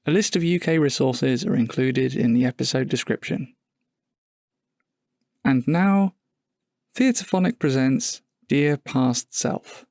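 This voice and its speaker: A man reading a scripted podcast announcement in a measured, formal manner. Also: medium pitch, darkish tone, no room sound, clean studio sound.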